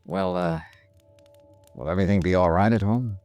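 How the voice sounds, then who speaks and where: An actor playing a wry, caring American investigator hero in old-time radio detective style, casual and colloquial. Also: male voice, low voice, moderate pace, darkish tone. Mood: kindly concerned. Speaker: lead actor and narrator. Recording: old radio drama with music.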